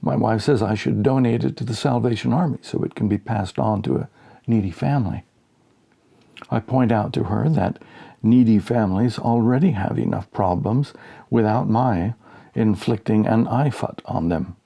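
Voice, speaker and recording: A man narrating a humorous short story as an audiobook, with dry, measured storytelling. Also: lowish voice, gravelly, dark tone.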